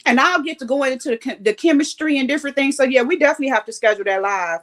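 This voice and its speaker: A woman's voice: sits high, rapid-fire, fairly bright tone. A woman talking earnestly and reflectively as a livestream guest. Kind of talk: casual livestream chat.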